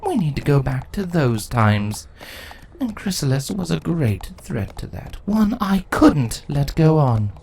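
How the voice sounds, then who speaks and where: A man voicing a ruthless, imperious female changeling insect queen in a story reading, haughty and deliberate in delivery. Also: on the high side, very animated, gravelly, a little mellow, a little hiss or hum, exaggerated voice. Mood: imperious, grim. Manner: haughty, deliberate, grandly self-justifying.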